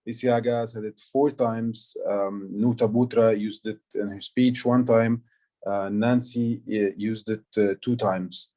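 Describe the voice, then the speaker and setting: A man from Egypt gives his report on a video call, pausing often with fillers and restarting his sentences. His voice sits a little low and sounds mellow and relaxed. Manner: hesitant, many fillers and restarts. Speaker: aha counter and grammarian. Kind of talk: online club meeting report.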